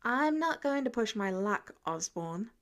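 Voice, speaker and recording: A woman's voice: fairly high pitch, big pitch swings, a little slow, a bit bright. A woman playing a sarcastic, bookish teenage boy in a narrated story, casual and guarded. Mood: wry.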